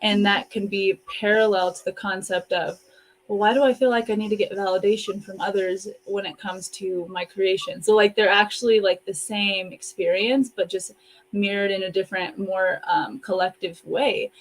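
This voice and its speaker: A woman's voice: mid-range voice, steady tone, normal speed, poor audio. A woman hosting in a casual, reflective conversational style, speaking over an online video call. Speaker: host and co-author.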